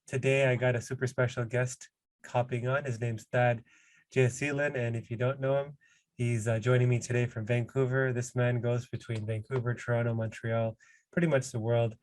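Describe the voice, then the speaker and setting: A man hosting a podcast, speaking at a middle pitch with a fairly level, even delivery. He talks casually and loosely, full of little fillers. The sound is dry and close, with no room echo. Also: moderate pace. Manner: relaxed, casual, uses fillers like "you know". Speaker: podcast host. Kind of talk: podcast interview.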